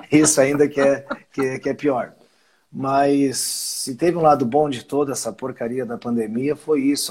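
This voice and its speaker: A man's voice: medium pitch, darkish tone, husky. A man, a winery owner chatting casually in an interview, rambling and often restarting his phrases. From southern Brazil. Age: 50s.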